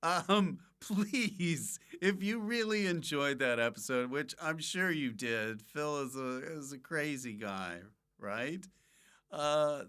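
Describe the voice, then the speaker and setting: A man's voice: mid-range voice, a bit bright, clear, resonant tone. A man hosting a podcast in casual comedic chat, full of joking, mock-abusive banter. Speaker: podcast host.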